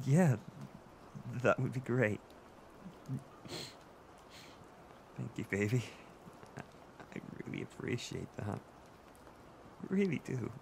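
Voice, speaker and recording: A man playing a tearful, gravelly-voiced insecure boyfriend. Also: mid-range voice, a little hiss or hum, full character voice. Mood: soft, grateful. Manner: halting, stammering, with nervous soft laughs. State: emotional, tearful. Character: tearful, insecure boyfriend seeking comfort from his partner. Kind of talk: intimate one-sided audio roleplay.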